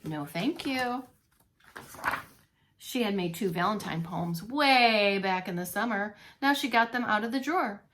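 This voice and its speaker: A woman reading a storybook aloud to young children as a teacher, expressively, pausing to comment and ask questions. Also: mid-range voice, animated, a bit bright. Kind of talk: animated read-along storytelling for young children.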